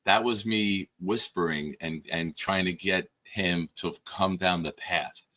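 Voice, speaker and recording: A male host with a low, bright and forceful voice that is hoarse and worn. He teases and bosses his guest in loose live-stream banter. Now and then he breaks into sudden loud bursts. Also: fairly even intonation, slow pace. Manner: teasing, bossy banter with sudden loud outbursts. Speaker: podcast host. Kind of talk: casual live-stream chat.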